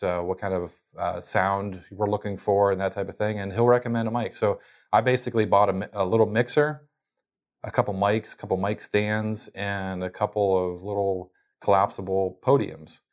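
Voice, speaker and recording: A man's voice: fairly low pitch, little pitch movement, normal speed. A man being interviewed as a guest, explaining casually how he runs his company's podcast, with plenty of fillers and restarts.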